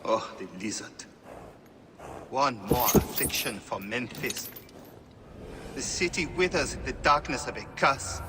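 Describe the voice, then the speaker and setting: An actor voicing an anxious, solemn high priest of a cursed city in a video game cutscene, stammering and pleading. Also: male voice, lively intonation, unhurried, pauses often, background noise, lightly acted. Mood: grave. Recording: game cutscene with music underneath.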